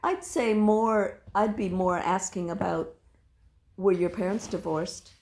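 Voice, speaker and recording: A woman guest in a casual chat, speaking in a measured, thoughtful way as she gives advice. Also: medium pitch, steady tone, darkish tone.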